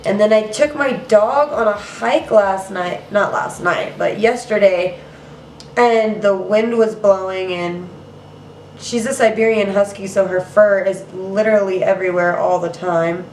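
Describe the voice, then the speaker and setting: A woman's voice: medium pitch, measured pace, a little hiss or hum. Congested young woman teaching makeup. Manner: chatty, casual, says "girl" and "you guys". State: congested, nasal from allergies. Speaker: makeup tutorial host. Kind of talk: step-by-step makeup tutorial. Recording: roomy, mic at some distance.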